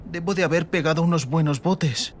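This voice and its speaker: A man's voice: a little high, fairly quick, slight background noise, voice pushed up, lightly acted. A man voicing an injured, dazed teenage girl thinking to herself in an audiobook. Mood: pained, rueful.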